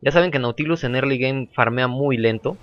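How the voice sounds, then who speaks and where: A man's voice: mid-range voice, fairly quick, muffled recording. A man with a sore throat, casually commentating his own gameplay and often repeating phrases. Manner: relaxed, repeats phrases, chatty.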